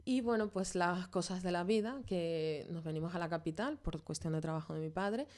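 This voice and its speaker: Hesitant woman, lowish voice. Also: moderate pace, a little mellow. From Venezuela. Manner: hesitant, many fillers and restarts. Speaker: podcast guest, graphic designer. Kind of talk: casual interview chat.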